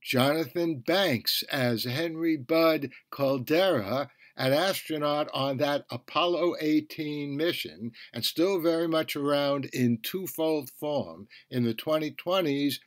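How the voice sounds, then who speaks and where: A man hosting a podcast, reading a review in a measured, deliberate manner. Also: on the high side, dry recording. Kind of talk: scripted review narration.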